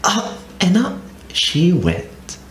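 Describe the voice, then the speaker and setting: A man reading a children's picture book aloud as a storyteller, in an expressive, very animated way. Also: high-pitched, very slow. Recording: echoey room with faint ambient noise.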